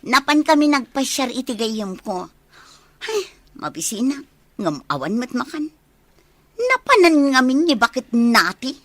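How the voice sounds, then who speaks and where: Woman playing a high-voiced, nosy Ilocano kid. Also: very animated, measured pace, long pauses, a bit bright, no echo, full character voice. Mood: hungry complaint. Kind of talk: Ilocano comedy radio drama acting.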